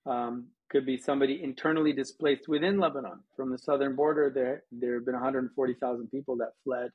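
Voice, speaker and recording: A man's voice: medium pitch, fairly even intonation, fast talker, slightly rough, narrow, tinny audio. An American man from Colorado answering interview questions conversationally, with anecdotes, as a guest from a missions organization. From United States, Colorado.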